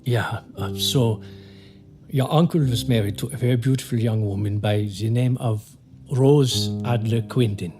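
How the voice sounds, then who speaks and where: A man playing a courteous German lawyer in an audio drama, with a German accent and formal, sometimes halting phrasing. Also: medium pitch, music in the background, natural voice. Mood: grave, hesitant. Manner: formal, halting word searches.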